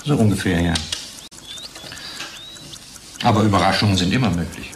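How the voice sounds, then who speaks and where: An actor playing a weary, cynical lab scientist with a gravelly voice in a German radio drama. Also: male voice, deep voice, rapid-fire, background noise. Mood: explaining, dry.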